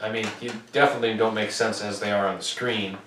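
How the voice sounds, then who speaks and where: A man's voice: fairly low pitch, steady tone, fast talker, fairly bright tone. A young man from the US co-hosting a casual sports podcast, loose and profane, with frequent joking. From United States. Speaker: podcast co-host. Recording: roomy, distant mic with faint noise.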